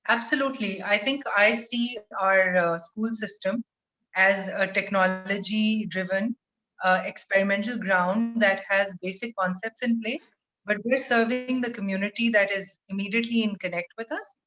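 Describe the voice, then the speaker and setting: A woman, explaining her school program in a steady, articulate video call interview from a room at home. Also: mid-range voice, normal speed. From Pakistan. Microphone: webcam on video call. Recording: muffled video call audio.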